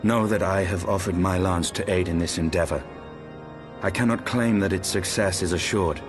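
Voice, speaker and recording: An actor playing a grim, proud dragoon knight in a fantasy game, speaking formal archaic English in a measured, guarded manner. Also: male voice, low voice.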